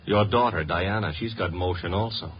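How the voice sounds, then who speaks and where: An actor playing a streetwise, wisecracking private detective's assistant in a 1950s radio drama, with a breezy, sardonic, slangy manner. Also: male voice, low-pitched, normal speed, slightly rough. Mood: wry. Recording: muffled, noisy 1950s radio recording.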